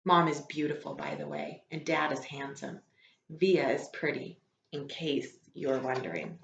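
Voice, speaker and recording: Woman, lowish voice, expressive storybook read-aloud, informal teacher tone, roomy echo. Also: unhurried, creaky, a little hiss or hum. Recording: echoey room, slightly dull lo-fi sound.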